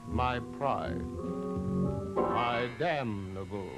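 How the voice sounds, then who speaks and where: An actor playing the Devil as a proud, courtly gentleman, mannered and over-polite, with deliberate pauses, in old-time radio horror style. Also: lowish voice. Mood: wounded pride. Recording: vintage radio drama, music behind.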